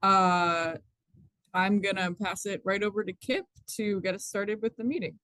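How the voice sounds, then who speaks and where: A program manager opens a public meeting over a video call, calling on members in a brisk, orderly way. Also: mid-range voice, fairly even intonation, bright, forceful tone, dry recording.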